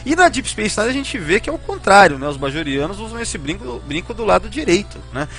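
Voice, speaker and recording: High-voiced Brazilian man chatting on a podcast. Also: sing-song, fairly quick, a bit bright, soft background music. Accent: Brazilian Portuguese. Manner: informal, hesitant, often seeking agreement with tag questions. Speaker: podcast host. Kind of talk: casual fan podcast discussion.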